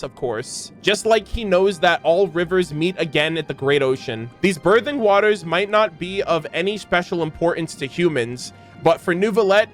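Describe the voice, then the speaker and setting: High-voiced man reacting excitedly. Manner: animated, exclamatory, swears freely. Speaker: streamer reacting to a video game's lore. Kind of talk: excited reaction commentary, reading aloud and commenting.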